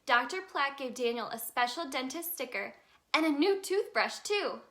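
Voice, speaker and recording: Lady reading a kids picture book, really high bright voice, sing-songy and expressive. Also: normal speed. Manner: expressive, sing-song delivery for young children. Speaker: children's storybook reader, in a tooth fairy persona. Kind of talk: read-aloud children's story narration.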